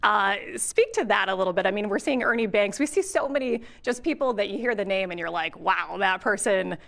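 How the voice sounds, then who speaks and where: A woman's voice: medium pitch, animated, a little fast, creaky, a bit bright. Upbeat woman reporting live indoors. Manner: upbeat, chatty, laughs easily. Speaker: field reporter. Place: hotel convention room, indoors. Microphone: handheld news mic.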